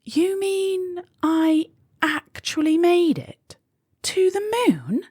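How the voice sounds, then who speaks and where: A woman voicing a small, plucky young female moth in a children's storybook, with gasps and excited exclamations. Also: very high-pitched, extremely slow, dry recording, clean studio sound, pitched above the performer's own voice, heavily acted. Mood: amazed, excited.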